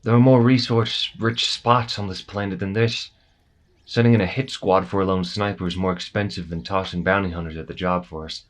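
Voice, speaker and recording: A man's voice: sits low, fairly even intonation, a little fast, lightly acted. A man acting an easygoing, matter-of-fact soldier at a checkpoint in an audio roleplay, explaining things casually with a slight hesitancy.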